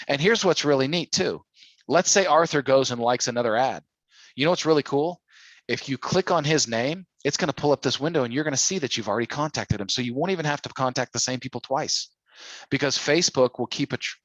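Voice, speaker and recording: A man's voice: mid-range voice, quick pace, a bit bright, no room sound, rough recording. A man hosting an informal sales training call, talking persuasively and using plenty of rhetorical questions. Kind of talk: informal sales coaching talk.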